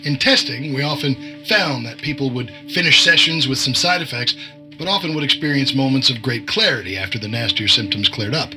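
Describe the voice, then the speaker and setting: A man playing a cryptic, domineering agent of a shadowy conspiracy in an audio drama, evasive and commanding in a measured way. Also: mid-range voice, over music, lightly acted, rough recording. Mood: cryptic, smug.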